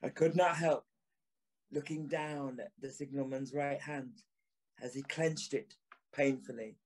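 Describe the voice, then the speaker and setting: Guy playing a victorian gent telling a ghost story, slow n grave, uneasy, audio drama narration. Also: medium pitch, steady tone, a little hiss or hum, close to an everyday voice, poor audio. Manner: slow, grave, literary delivery. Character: uneasy Victorian gentleman recounting a ghostly encounter.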